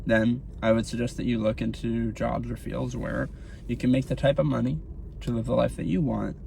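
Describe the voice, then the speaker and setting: Gravelly-voiced man vlogging from his car. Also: mid-range voice, level tone, moderate pace, mellow, slight background noise. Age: 30s. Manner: rambling, speaks to camera while driving. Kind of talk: casual motivational talk.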